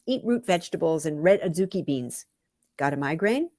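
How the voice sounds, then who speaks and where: Expressive low-voiced woman reading her story. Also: no echo, clean studio sound. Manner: wry, deliberate, dramatic phrasing. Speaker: author reading own memoir story.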